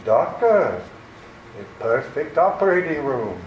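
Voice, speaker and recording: A man's voice: medium pitch, big pitch swings, slow, highs cut off. A man playing a menacing, scheming criminal nephew in a radio play, mock-courteous, theatrical and commanding. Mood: sinister, scheming. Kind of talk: 1940s-style radio theater acting. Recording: echoey room with faint noise.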